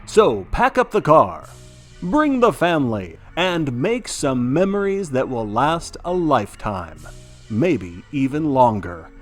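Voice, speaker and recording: Casting: gleeful male theme park pitchman, acted by a man, retro commercial style, big swooping emphasis. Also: big pitch swings, slow pace, faint music behind. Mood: gleeful, salesy. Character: booming, cheery male amusement park commercial announcer.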